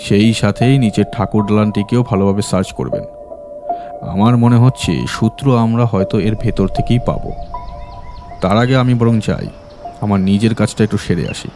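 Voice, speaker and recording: A man's voice: lowish voice, normal speed, lightly acted. A man playing a stern, curt detective in an audio drama, speaking in a firm, probing, commanding manner. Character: stern, curt, self-possessed male detective. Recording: music behind the voice. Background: music.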